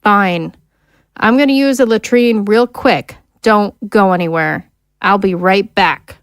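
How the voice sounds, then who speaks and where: A woman playing a brisk female camp guard in an audio drama. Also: mid-range voice, clean tone. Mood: casual, curt.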